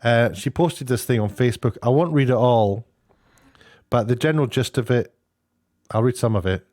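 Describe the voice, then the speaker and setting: A man giving casual, off-the-cuff commentary in a halting, rambling way, often breaking off to rephrase. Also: medium pitch, a little mellow, dry recording, clean studio sound. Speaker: online commentator, former broadcast worker.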